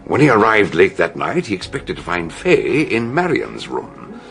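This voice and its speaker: An actor with a British accent playing a shrewd older detective doctor in a radio drama, telling his deductions with emphatic, dramatic pauses. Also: lowish voice, wildly swooping pitch, gravelly, a bit bright, faint music behind, heavily acted. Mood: shrewd, intrigued. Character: shrewd, theatrical older male detective doctor explaining a murder.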